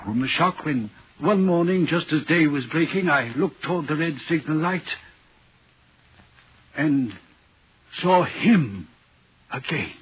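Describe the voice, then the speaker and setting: Muffled vintage radio drama, anguished signalman, high voice, very raspy, brooding dramatic storytelling. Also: animated, slow, long pauses, full character voice. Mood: haunted, subdued. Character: haunted, anguished railway signalman. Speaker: actor in a radio horror play. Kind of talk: 1940s radio acting. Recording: muffled 1940s radio recording.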